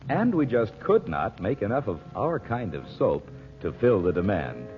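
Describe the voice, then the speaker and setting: A man's voice: on the low side, very animated, normal speed. A man with an American accent announcing and narrating in scripted 1940s radio style. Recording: muffled old radio broadcast with music.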